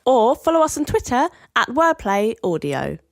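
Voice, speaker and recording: A woman's voice: a little high, sing-song, normal speed, dry recording, studio quality. A woman presenting a podcast as host, reading from a script.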